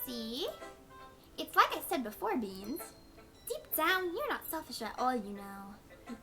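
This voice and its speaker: A woman's voice: high voice, very animated, unhurried, bright, forceful tone, soft background music, heavily acted. A woman voicing a cheerful, clumsy teenage schoolgirl in a visual novel game, sweet and bubbly.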